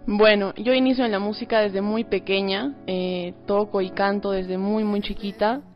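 A woman's voice: mid-range voice, steady tone, moderate pace. A woman with a Cusco Peruvian accent, a singer-songwriter guest answering casually in an interview. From Cusco, Peru. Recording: slightly dull sound, faint music behind.

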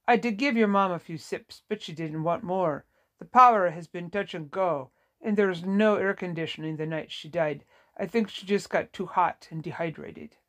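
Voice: mid-range voice, lightly acted. A woman voicing a short, weary Vietnamese nurse in an audiobook, sorrowful, apologetic and plain-spoken, with a Vietnamese accent. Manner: folksy, apologetic, emphatic. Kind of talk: audiobook character dialogue.

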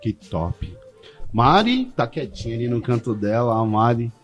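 A man's voice: mid-range voice, sing-song, measured pace, highs cut off, soft background music. A Brazilian man hosting a podcast, asking questions in a playful, teasing way. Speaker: podcast host. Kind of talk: casual podcast interview.

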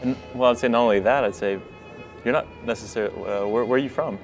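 A man's voice: medium pitch, faint music behind. A man interviewing a guest at a convention, friendly, often restarting his questions. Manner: quick, friendly, stumbling restarts. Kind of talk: casual interview. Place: convention floor.

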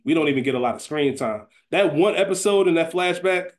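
A man's voice: a little high, expressive, fairly quick. A man co-hosting a podcast in a casual chat style, emphatic and often repeating his phrases. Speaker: podcast co-host.

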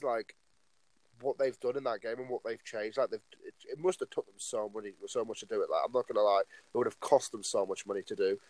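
A man's voice: medium pitch, darkish tone, no echo, rough recording. A nineteen-year-old man co-hosting a casual podcast, rambling along and filling his talk with "like" and "obviously". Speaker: podcast co-host.

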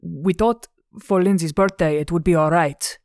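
A woman's voice: fairly low pitch, fairly even intonation, moderate pace, a little mellow, dry recording, lightly acted. Lady narrator doing a kindly older guy, sheepish and stammering, dry sound.

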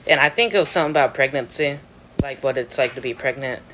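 A man's voice: mid-range voice, quick pace, background noise. A young man chatting casually while playing a game, rambling, trailing off mid-sentence and laughing often. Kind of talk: casual chat over a game.

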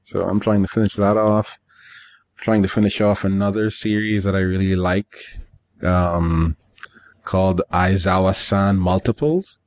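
This is a man with a low voice, co-hosting a casual chat show. He talks in an offhand, conversational way and acts out the remarks he quotes. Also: level tone, measured pace, a little mellow, no echo. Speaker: podcast co-host. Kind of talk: casual chat about games and manga.

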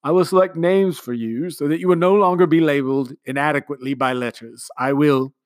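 A man's voice: on the high side, a little fast, no echo, studio quality, pitched above the performer's own voice, lightly acted. A man voicing a grandiose, sentimental middle-aged male commander who talks in long, flowery speeches. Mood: grandiloquent. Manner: long-winded, pompous speechmaking.

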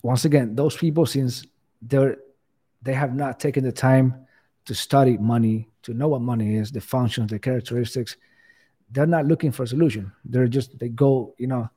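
Guest man, warm husky tone, earnest, full of "you know"s. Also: medium pitch, fairly even intonation, moderate pace. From Cuba. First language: Spanish. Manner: earnest, many "you know" fillers and restarts. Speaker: podcast guest. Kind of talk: casual interview talk.

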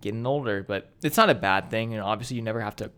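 A man's voice: on the low side, racing, fairly bright tone, slight background noise. A man in his early twenties talking casually as a podcast co-host, in an informal, slangy and emphatic way. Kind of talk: casual chat about films.

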